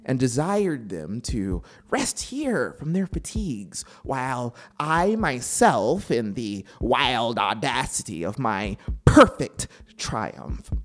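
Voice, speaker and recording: Guilt-crazed killer confessing, slow dramatic horror audiobook read, pitch swinging wildly, synth music behind. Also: sing-song. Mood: hushed, smug. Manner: theatrical, drawn-out, swinging from hush to shriek. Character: guilt-mad murderer confessing, feverish, theatrical, unraveling into frenzy.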